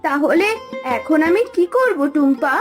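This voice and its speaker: A gentle talking swan, voiced by a woman. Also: high voice, expressive, measured pace, music in the background, pitched below the performer's own voice. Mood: grateful. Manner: soft, polite. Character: gentle grateful injured swan. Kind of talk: cartoon voice acting.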